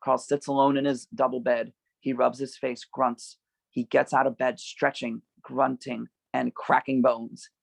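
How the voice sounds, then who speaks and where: A man narrates screenplay directions at a table read. His voice is creaky and gravelly at a middle pitch, recorded dry and close. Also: flat delivery, measured pace, no echo.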